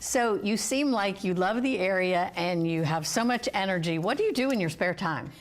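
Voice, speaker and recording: A woman's voice: medium pitch, animated, moderate pace. A woman from West Virginia hosting a health interview show, encouraging her guests and complimenting them as she asks follow-up questions. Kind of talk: friendly interview.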